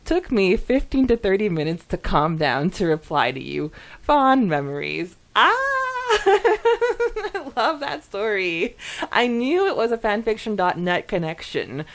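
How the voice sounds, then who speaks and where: A woman's voice: mid-range voice, big pitch swings, unhurried. A woman co-hosting a podcast, chatting casually and reading comments aloud in a giggly, enthusiastic manner. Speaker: podcast co-host.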